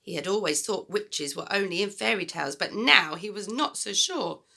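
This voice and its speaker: A woman's voice: mid-range voice, lively intonation, normal speed, gravelly, pushed and bright. A woman reading a children's storybook aloud expressively, as a teacher would to pupils.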